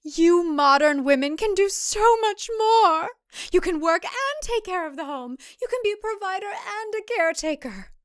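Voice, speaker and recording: A woman voicing an older, self-righteous cult mother in an audio drama, with a fervent, plaintive, drawn-out delivery. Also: very high voice, lively intonation, no echo, clean studio sound, pitched above the performer's own voice. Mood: fervent conviction.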